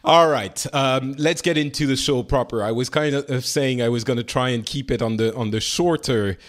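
A man's voice: medium pitch, moderate pace. A man hosting a casual podcast panel chat who talks with many fillers and restarts and laughs easily. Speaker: podcast host.

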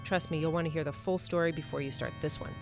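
Woman, lowish pitch, podcast host, scripted intro, guitar music underneath. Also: steady tone, fast talker, vocal fry. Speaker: series creator and host.

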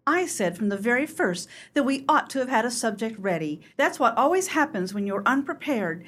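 An earnest book club lady played by an actress in a radio play, with a breathy, raised voice and lively intonation. She sounds genteel, naive and a bit aggrieved. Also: fairly high pitch, fairly quick.

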